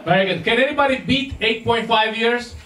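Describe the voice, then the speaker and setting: A man's voice: very high voice, brisk. A man in his thirties doing stand-up crowd work on a small club stage, teasing the audience into a handheld mic. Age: 30s. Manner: teasing, animated banter with audience. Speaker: stand-up comedian. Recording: echoey club recorded from far off with crowd noise. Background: audience laughter.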